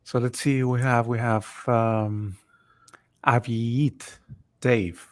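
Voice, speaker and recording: A man's voice: mid-range voice, fairly even intonation, slow, darkish tone. Man, from Ecuador, webinar co-host, easygoing, casual, computer mic. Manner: easygoing, greets attendees by name. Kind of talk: live webinar, casual chat.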